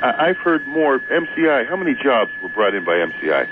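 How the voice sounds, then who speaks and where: A man calling in to talk radio, chatting about politics in a halting, repetitive and emphatic way. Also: medium pitch, big pitch swings, a loud crowd. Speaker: radio call-in guest. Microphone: telephone. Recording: tinny phone line, noisy hum, radio aircheck.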